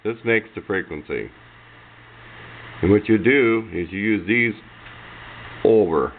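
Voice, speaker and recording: A man's voice: lowish voice, slow. A man giving a casual, hands-on explanation as a hobbyist, rambling along in folksy, informal speech on noisy, muffled amateur camera audio. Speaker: hobbyist presenter of radio demonstration.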